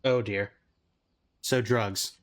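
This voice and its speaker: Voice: medium pitch, fairly even intonation, drawn-out words. A streamer giving casual, muttered, wry reaction commentary while playing a game.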